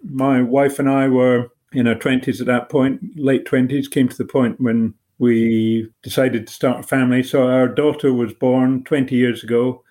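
A man in his forties from Britain with a mellow, relaxed tone, talking through his answers in a measured, explanatory way. His pitch sits in an ordinary middle range, and he sounds like a journalist guest on an interview show. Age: 40s.

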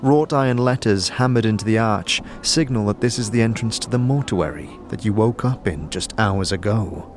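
A man's voice: lowish voice, normal speed, hardly any pauses, background noise. A man narrating a scripted fantasy story as a storyteller. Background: music.

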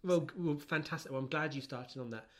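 A man co-hosting a podcast, chatting casually and teasingly about pop culture, wandering off on tangents in an animated way. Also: on the high side, fast talker. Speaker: podcast host.

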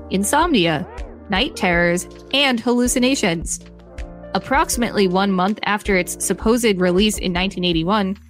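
A woman with a medium-pitched voice reads reference text aloud as a podcast co-host. Faint music plays behind her. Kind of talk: scripted article reading with light commentary.

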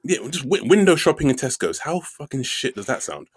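A man's voice: fairly high pitch, sing-song, a little fast, slightly rough, dry recording. A young man from London, a comedian co-hosting a podcast, telling laid-back, joking stories with swearing and lots of fillers. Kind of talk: casual comedy podcast chat.

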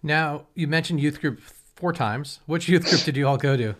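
A man's voice: on the high side, normal speed, crowd noise. A man in his forties interviewing guests in a casual, rambling way, often restarting his sentences. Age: 40s.

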